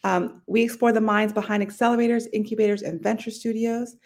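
A woman's voice: on the high side, fairly even intonation, fairly quick, darkish tone. Friendly woman podcast host. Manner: friendly and laughs often, with many fillers. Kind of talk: interview hosting.

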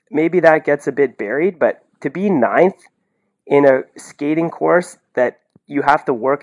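A man in a casual sports podcast conversation, with a middling pitch. He gives blunt opinions in an incredulous way, leaning on rhetorical questions and peppering his sentences with "like". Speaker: podcast commentator.

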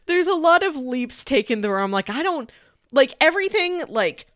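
A woman co-hosting a casual film discussion, retelling things in an animated way and acting out imagined lines. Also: high voice, moderate pace, no room sound. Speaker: podcast co-host discussing a film.

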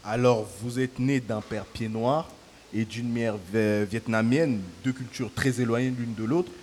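A man's voice: medium pitch, fairly even intonation, a little slow, darkish tone. A man puts long, polite questions to a guest on live festival radio. His voice sits in a reverberant outdoor space with a faint hum of noise behind.

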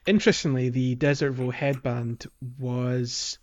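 A man talks through a video game area on a podcast, easygoing and chatty, stopping for ums and little laughs. His voice sits at an ordinary middle pitch. The audio sounds a bit muffled and lo-fi, like a home podcast mic. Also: fairly even intonation, unhurried, a little mellow. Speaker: podcast co-host. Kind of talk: casual gaming podcast chat.

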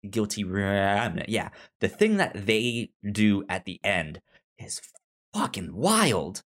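A man with a lowish voice talks casually as a podcast co-host. He takes his time, very slow in pace, and halts often, stammering and repeating words as he works toward his point. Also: sing-song, a bit bright. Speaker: podcast co-host reviewing a TV series. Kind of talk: casual discussion.

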